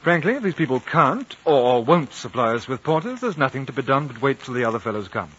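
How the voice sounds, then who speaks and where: A composed, reasonable British gentleman, with a middle-pitched voice. He speaks with measured courtesy and a quietly probing air, on a dull old radio drama recording. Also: very animated, slight background noise. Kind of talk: 1950s radio drama acting and narration.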